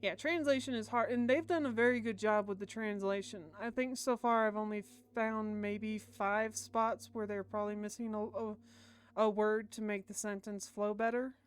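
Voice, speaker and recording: A woman's voice: mid-range voice, fairly even intonation, normal speed, clear, resonant tone. An American woman streaming a game, chatting casually with stammered, repeated words. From United States. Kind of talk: casual livestream commentary and reading aloud.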